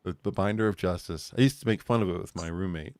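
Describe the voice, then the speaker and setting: Low-voiced man in dry, rambling chat. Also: quick pace, a little mellow, dry recording. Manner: rambling, laughs at own jokes. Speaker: podcast co-host. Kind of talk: casual chat.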